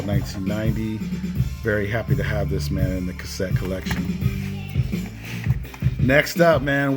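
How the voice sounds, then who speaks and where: A man hosting a casual show-and-tell of a collection, enthusiastic and often punctuating his phrases with "man". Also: on the low side. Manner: enthusiastic, repeatedly tacks "man" onto phrases. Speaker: video host showing cassette collection. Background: music with guitar.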